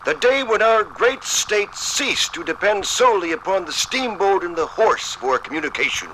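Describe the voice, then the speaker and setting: An actor playing a proud, formal nineteenth-century judge in a 1950s radio dramatization, solemn, deliberate and oratorical. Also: male voice, sits high, animated, pushed and bright, poor audio. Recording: vintage radio recording with faint noise.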